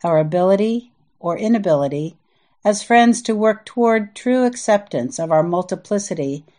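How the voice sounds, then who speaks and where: An American woman from the Midwest reading her own reflective essay aloud in a measured, earnest manner. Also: medium pitch, lively intonation, dark tone. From US Midwest (Indiana and Iowa). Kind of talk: reflective scripted narration.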